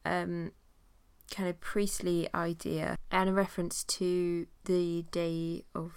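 A woman with a cold teaches an informal Bible study, her lowish voice noticeably nasal. She chats with plenty of fillers and reads long passages aloud. The recording is dry and close, with no room sound. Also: little pitch movement, slow, darkish tone, smooth, dry recording. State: has a cold.

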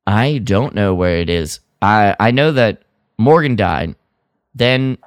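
A man co-hosting a podcast in casual review chat, halting as he thinks aloud and gives his opinions. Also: fairly low pitch, expressive, clear, resonant tone, dry recording, clean studio sound. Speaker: podcast co-host. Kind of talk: casual review chat about a radio drama.

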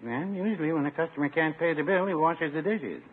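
A very noisy, muffled 1950s radio recording. A man plays an older small-town druggist in a comedy, dry and deliberate, with folksy rises and dips as he slyly stands his ground. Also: a little high, lively intonation, lightly acted. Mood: slyly stubborn.

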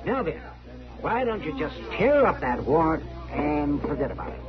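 An actor playing a flustered, testy small-town doctor in an old radio western, sputtering and argumentative. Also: male voice, on the high side, sing-song, normal speed, music in the background. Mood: testy, indignant. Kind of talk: old-time radio western drama acting. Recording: muffled old radio broadcast, background murmur.

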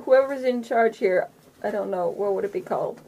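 A woman's voice: medium pitch, moderate pace, mellow, slight background noise, low-quality recording. A woman improvising narration as game master in a casual, hesitant way.

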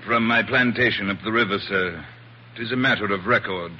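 An actor playing a formal, coolly insistent plantation owner in a 1940s radio drama, stiffly polite and clipped. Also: male voice, on the low side, a little fast, dull audio, close to an everyday voice. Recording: noisy old 1940s radio recording.

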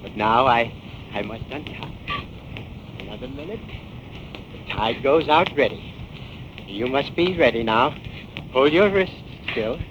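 An actor playing a crazed, greedy old smuggler with a slow, menacing delivery in 1940s radio melodrama. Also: male voice, mid-range voice, lively intonation, lightly acted. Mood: gloating, menacing. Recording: muffled, noisy 1940s radio recording.

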